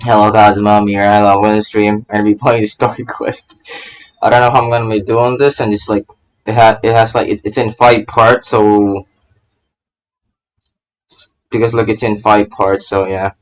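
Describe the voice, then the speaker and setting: A man's voice: fairly low pitch, little pitch movement, moderate pace, poor audio. A man doing casual live game commentary, rambling and stammering as he repeats his phrases. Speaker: VTuber game streamer.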